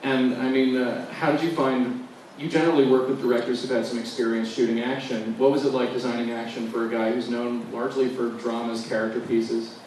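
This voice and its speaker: A man with a mid-pitched voice moderates a live interview in a casual way. His questions are wordy and broken by his own restarts. He sounds distant and heavily reverberant, with faint noise in the recording. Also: flat delivery, fairly quick, a little mellow. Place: large indoor venue at a film festival. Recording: echoey hall, distant mic, faint noise.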